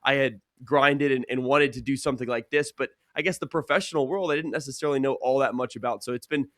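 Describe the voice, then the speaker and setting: A man's voice: mid-range voice, steady tone, quick pace, fairly bright tone, dry recording, clean studio sound. A young man, a sports broadcaster, giving earnest, conversational answers as a guest in a casual interview. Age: young adult.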